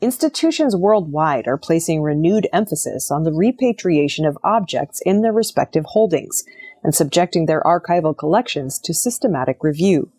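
A woman's voice: fairly low pitch, a little mellow. A woman reading scripted news stories as a news presenter. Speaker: news reader.

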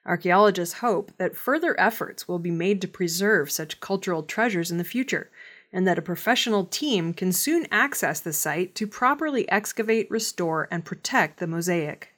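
A woman reading scripted news in a measured, formal delivery. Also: fairly low pitch, expressive, fairly bright tone. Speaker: news reader.

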